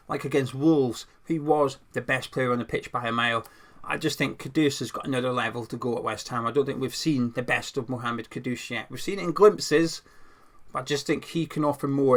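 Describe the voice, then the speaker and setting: A young man with a croaky voice, giving his football opinions in a casual, conversational monologue with some restarts as a channel host. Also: mid-range voice, pushed and bright.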